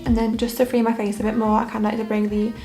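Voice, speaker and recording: A young woman makeup artist talking through a casual makeup tutorial in a hoarse, croaky voice, her delivery relaxed and monotone with plenty of fillers. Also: a little high, fast, music in the background. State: croaky, hoarse voice after illness. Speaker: makeup artist and beauty vlogger.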